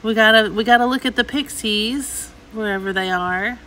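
Middle aged lady doing a chatty shop with me vlog, bright voice, gushy, bit of store noise in the back. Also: a little high, moderate pace, poor audio. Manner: chatty, gushing over items. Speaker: host, antiques reseller. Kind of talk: casual shopping vlog commentary. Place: inside an antique mall.

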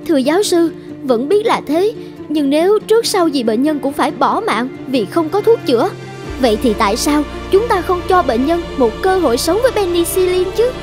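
A woman's voice: high voice, animated, moderate pace, over music. A woman voicing a loyal, earnest young medical assistant in a dramatic cartoon dub, emphatic and urgent. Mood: urgent pleading. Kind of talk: dramatic animated-film dubbing.

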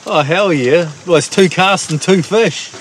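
A man's voice: sits high, a little slow. A man chatting casually while fishing on a rocky sea coast, laughing often and cheering his mates on. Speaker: vlog host. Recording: wind and waves, noisy outdoor camera mic.

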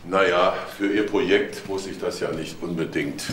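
A man's voice: low voice, fairly even intonation, normal speed, a bit bright. Male detective, blunt, dry, sardonic, crime drama, echoey room, faint noise. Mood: probing, sardonic. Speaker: actor playing a police detective. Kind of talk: German TV crime drama dialogue. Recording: roomy sound with faint noise.

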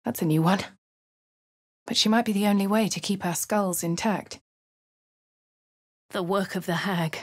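An actress voicing a posh, haughty female adventurer with a posh English accent, speaking in a cool, measured way in game voice lines. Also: medium pitch, no echo, clean studio sound, natural voice. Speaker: player character. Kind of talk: video game voice lines and narration.

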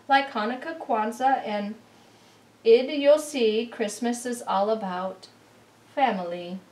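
A woman's voice: fairly high pitch, close to an everyday voice. A woman voicing a kindly, sentimental old lady from a storybook, speaking earnestly in rhyme. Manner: gentle, earnest, rhyming speech. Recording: roomy, distant camera recording.

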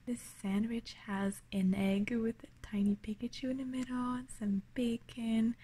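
A woman hosting an ASMR unboxing, whispering breathily and gently, sounding delighted, close to the mic at a table indoors. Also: a little high, little pitch movement, normal speed, slight background noise.

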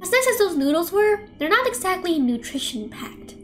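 An earnest schoolgirl from a visual novel, as a woman acts her out, with faint music behind. Her voice is high and swings up and down. She is soft-hearted, teasing and a bit bossy. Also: big pitch swings, normal speed, full character voice. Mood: playful. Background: game music.

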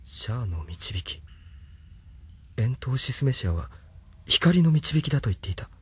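A man's voice: medium pitch, very animated, normal speed, natural voice. A man playing an earnest, self-doubting young hero in a Japanese audio drama, sounding troubled. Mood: wondering. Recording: long hall reverb, faint noise.

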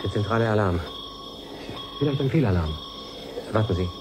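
A man's voice: lowish voice, animated, moderate pace, noisy background, close to an everyday voice. An actor playing an urgent, decisive male scientist in a science fiction radio play, insistent and clipped. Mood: alarmed.

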